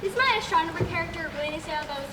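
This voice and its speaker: A young woman playing a fretful, naive, slow-witted actor who complains, in a stage comedy. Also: extremely high pitch. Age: teenager. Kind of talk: amateur stage comedy acting. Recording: echoey, distant, noisy stage.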